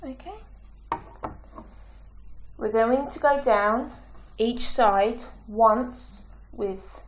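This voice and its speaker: A woman with a croaky throat, giving slow, patient step-by-step craft instructions as a tutorial host. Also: fairly high pitch, slight background noise. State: croaky, clearing her throat.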